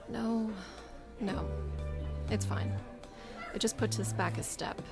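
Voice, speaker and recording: A woman's voice: medium pitch, expressive, very slow, loud music behind the voice. A woman playing a self-assured, bossy squadron strategist in an audio drama, speaking in a formal, precise, businesslike way. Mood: composed, brisk.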